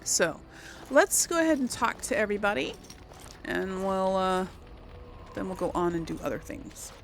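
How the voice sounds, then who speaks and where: A woman's voice: medium pitch, sing-song, normal speed, a little hiss or hum, rough recording. A woman hosting a casual game playthrough, chatting and thinking aloud hesitantly as she role-plays dialogue. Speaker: gaming host and role-player.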